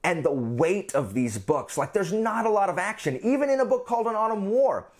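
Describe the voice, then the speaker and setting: A man's voice: high voice, very animated, a little fast. A man talking casually as a book vlogger, gushing and emphatic, often repeating phrases to drive a point home. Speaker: book reviewer and channel host. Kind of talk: casual chatty book vlog.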